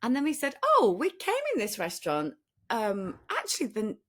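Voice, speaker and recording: A British woman co-hosting a podcast in casual chat, telling stories in an animated, chatty way. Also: fairly high pitch, moderate pace. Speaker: podcast co-host.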